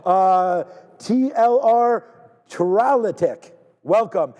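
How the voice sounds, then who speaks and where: Energetic Canadian man hosting a trading stream. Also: high-pitched, very slow, long reverb tail. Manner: energetic, rambling, repeats words, shouts out viewers. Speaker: live trading stream host. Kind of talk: casual live-stream banter.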